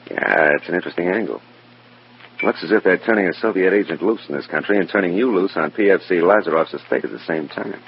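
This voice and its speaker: An actor playing a matter-of-fact, persuasive FBI agent with a plain-spoken, folksy manner in an old radio drama. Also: male voice, deep voice, quick pace. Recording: dull, faintly noisy old radio recording.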